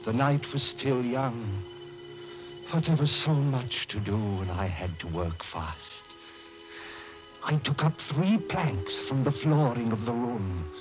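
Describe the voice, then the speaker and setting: An actor playing a nervous madman murderer who feigns genteel calm, in theatrical old radio drama style with overpolite, hesitant speech. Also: mid-range voice, slow, music in the background. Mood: gloating, intense. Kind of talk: 1940s radio acting, suspense monologue and dialogue. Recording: noisy, muffled old radio recording.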